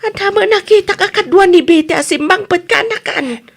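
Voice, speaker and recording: A woman playing an aunt in an Ilocano comedy radio drama, with an Ilocano accent and unhurried delivery. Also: extremely high pitch. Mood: gossipy, insistent. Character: aunt chatting with her grown relative.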